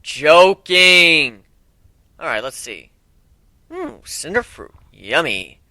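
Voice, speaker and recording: A man's voice: fairly high pitch, big pitch swings, slow pace, sharp tone, no echo, clean studio sound, voice pushed up, heavily acted. A man voicing a tough, joking, protective griffin warrior with a brash, casual way of talking in a story reading.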